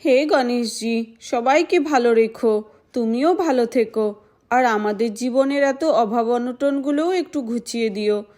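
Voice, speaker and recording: Woman playing a high-voiced, kind poor village woman. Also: a little slow, natural voice. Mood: prayerful. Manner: gentle, reassuring, caring.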